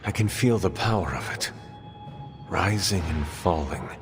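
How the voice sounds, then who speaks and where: A man playing a solemn, determined warrior hero in a video game, with formal, measured speech. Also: sits low. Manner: formal, measured, few contractions. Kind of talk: video game cutscene acting. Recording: long reverb, faint music.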